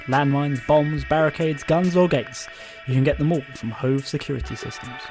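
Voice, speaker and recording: An actor playing an earnest podcast host from a post-apocalyptic future, speaking in a measured, presenterly way. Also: male voice, medium pitch, lightly acted. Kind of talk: scripted fiction podcast hosting.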